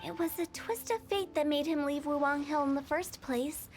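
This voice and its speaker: A quirky young woman from a video game, very high in pitch. She is mischievous and breezy, with a playful, teasing way of talking over soft background music. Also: moderate pace. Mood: matter-of-fact. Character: quirky, mischievous young female funeral parlor director.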